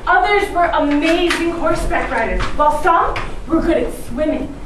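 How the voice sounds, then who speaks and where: A woman's voice: high-pitched, lively intonation, normal speed. A woman performing readers theatre, telling a story with dramatic, emphatic delivery. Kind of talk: theatrical ensemble storytelling on stage. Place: large indoor performance space, far mic. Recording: echoey hall from far off, noisy.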